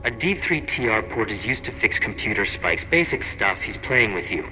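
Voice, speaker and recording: Sharp-edged tech expert over radio. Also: male voice, lowish voice, very animated, normal speed, faint music behind, heavily acted, rough recording. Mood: dismissive. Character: sly, know-it-all male tech expert advising covertly. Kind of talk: video game dialogue acting.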